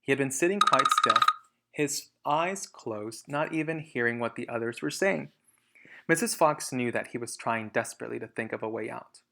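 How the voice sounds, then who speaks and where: A man's voice: mid-range voice, moderate pace, gravelly, pushed and bright, slight background noise. A man reading a children's storybook aloud, narrating and explaining to young listeners. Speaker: storybook reader.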